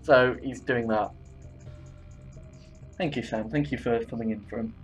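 A man's voice: mid-range voice, measured pace, faint music behind, low-quality recording. A young man chatting casually as he coaches a video game player, playful and given to short repeated instructions. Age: young adult. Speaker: gaming channel host coaching a player. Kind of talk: casual gaming chat and coaching.

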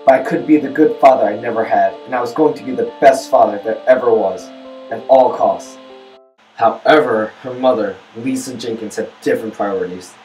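A man's voice: mid-range voice, moderate pace, darkish tone, faint music behind, close to an everyday voice. A man acting as a haunted, poor young father, narrating his bitter confession as a dramatic monologue. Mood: tender, determined, then resentful. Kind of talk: first-person dramatic monologue narration with music.

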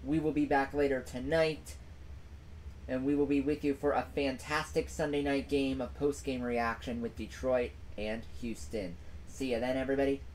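A man's voice: medium pitch, steady tone, normal speed, a little mellow, slight background noise, low-quality recording. A young man hosting a solo sports stream from a small room at home, recapping the day's football games with emphatic repetition into a desk mic. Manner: emphatic, repeats phrases for effect.

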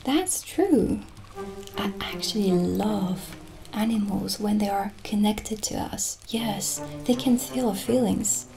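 A middle-aged woman playing a gentle, chatty witch shopkeeper in a soft-spoken, often whispered ASMR roleplay, speaking in a hushed, unhurried way. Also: mid-range voice, gravelly, poor audio. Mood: calm, chatty. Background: original music.

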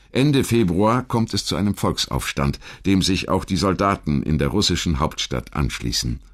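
Deep-voiced male documentary narrator. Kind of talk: scripted historical narration.

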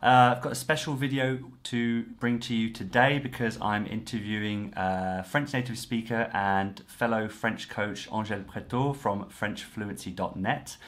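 A man in his thirties hosts a chat over a video call. His voice sits low, and he speaks in a friendly way, restarting his sentences often. The sound is a plain home video-call recording. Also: steady tone, measured pace, few pauses, fairly bright tone. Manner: friendly, with frequent fillers and restarts. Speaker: host, French coach. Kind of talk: casual interview chat. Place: room at home, indoors.

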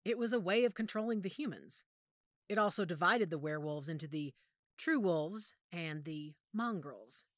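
Woman playing steady werewolf lady explaining pack history, lowish voice, really lively intonation, audio drama. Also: normal speed, no room sound, studio quality. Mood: serious, explanatory. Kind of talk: audio roleplay drama acting.

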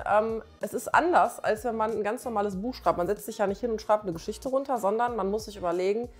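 A woman in her forties chatting casually and emphatically to camera, full of enthusiasm, in colloquial language. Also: mid-range voice. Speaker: doctor and author presenting her comic. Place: small living room on a sofa, indoors. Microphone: clip-on lapel mic.

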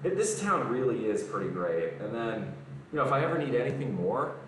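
A man's voice: medium pitch, big pitch swings, brisk, noisy background. A young man chatting casually on a live stream from his home gym, answering comments between exercises. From American South. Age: young adult. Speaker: fitness streamer. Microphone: camera mic at a distance. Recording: roomy garage echo, distant mic.

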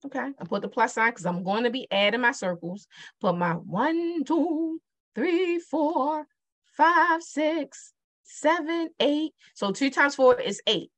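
A woman's voice: high voice, takes it slowly. A middle-aged woman with a Baltimore accent teaching multiplication over a digital whiteboard, chatty and repeating her questions to the viewer. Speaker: math teacher. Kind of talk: casual whiteboard math lesson.

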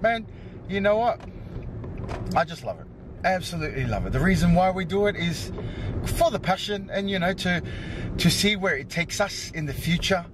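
A man's voice: sits high, animated, normal speed, pauses often, noisy background. A man in his thirties from Samoa, chatting casually to a handheld camera in an upbeat way and tagging his lines with "yo". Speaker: vlogger and salon owner. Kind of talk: casual vlog chat to camera. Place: parked car, then a hair salon. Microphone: handheld camera held close.

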